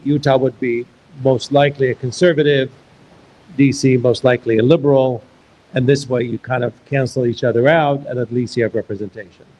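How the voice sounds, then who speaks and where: A man from Iraq hosts a live onstage conversation, his pitch sitting in the ordinary middle range. He asks questions loosely and thinks aloud, circling back with restarts. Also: fairly even intonation, brisk, darkish tone, poor audio. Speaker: host and interviewer.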